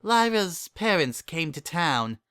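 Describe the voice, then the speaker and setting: A man's voice: fairly high pitch, sing-song, slow pace, sharp tone, clean tone, voice pushed up, full character voice. A man voicing a protective, no-nonsense mare shopkeeper in a pony story, with a scolding, sceptical manner. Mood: subdued. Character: protective, no-nonsense yellow mare minding candy shop.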